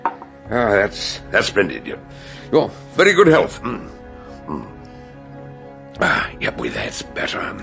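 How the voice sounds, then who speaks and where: Deep, gravelly older British detective. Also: male voice, huge pitch swings, slow pace, pauses often, bright, forceful tone, faint music behind, full character voice. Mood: genial, probing. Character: shrewd, grave, genial older male detective. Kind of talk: radio drama acting and narration.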